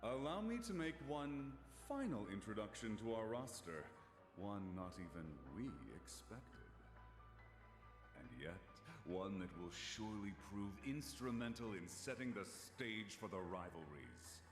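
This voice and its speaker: A theatrical game villain with grandiose formality. The low voice swings widely in pitch and echoes through a large hall over music. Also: male voice, animated, a little slow. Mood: portentous. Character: theatrical male villain presenting his roster. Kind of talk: video game cutscene voice acting. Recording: long hall reverb, music behind.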